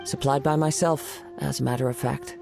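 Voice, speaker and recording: A calm young wandering poet with a gravelly, husky-edged voice. He speaks softly and measuredly, choosing poetic words. His pitch sits at an ordinary middle level. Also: music in the background. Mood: thoughtful. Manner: soft-spoken, poetic and measured. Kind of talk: game cutscene voice acting.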